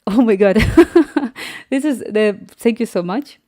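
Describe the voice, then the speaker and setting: A woman's voice: on the high side, expressive, takes it slowly, warm tone, dry recording, clean studio sound. A young woman from Ukraine with a Slavic accent, chatting casually on a live stream, laughing often and restarting words as she answers viewers.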